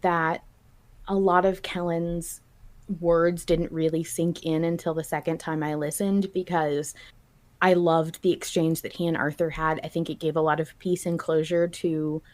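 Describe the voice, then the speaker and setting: Lower-voiced woman in earnest podcast chat. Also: little pitch movement, measured pace, a little mellow. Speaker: podcast co-host. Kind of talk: casual discussion of a fiction podcast.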